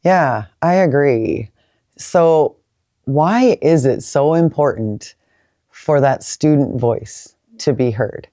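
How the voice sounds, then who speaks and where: A woman with a very deep, mellow voice leads a campus conversation. She is encouraging and tends to repeat words as she sets up each question. The sound is dry and close. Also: expressive, slow, no room sound. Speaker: university president and interviewer. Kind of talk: campus interview.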